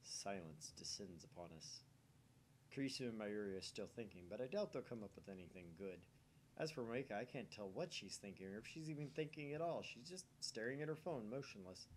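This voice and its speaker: Male gaming host reading story narration, animated intonation, light background noise and rumble. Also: a little fast, poor audio. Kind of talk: narration read aloud from a visual novel.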